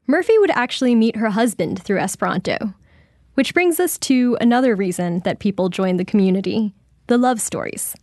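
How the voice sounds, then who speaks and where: A woman narrating a radio feature in a calm, measured way, medium pitch, recorded close and dry in a studio with no room sound. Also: dry recording. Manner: measured, clear delivery. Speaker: radio reporter. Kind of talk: scripted narration.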